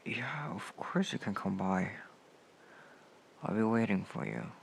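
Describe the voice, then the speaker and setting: A man acting a caring, protective male comrade in a comfort roleplay, speaking softly and soothingly with unhurried pauses. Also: on the low side, expressive, creaky, slight background noise. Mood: worried, soothing. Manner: soft-spoken, hushed, soothing, with unhurried pauses. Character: caring male anarchist comrade, protective, gently scolding, tending a friend.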